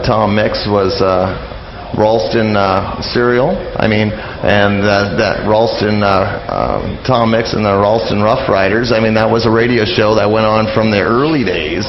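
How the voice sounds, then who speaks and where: A man being interviewed on the radio, explaining and reminiscing informally in a halting, anecdotal way. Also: on the low side, a little hiss or hum. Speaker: radio interview guest on old-time radio history. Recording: dull lo-fi radio, roomy, crowd behind.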